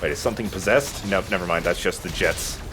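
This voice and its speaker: A man's voice: deep voice, fast talker, music in the background. A man giving casual, explanatory commentary as he plays a video game. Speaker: let's play host.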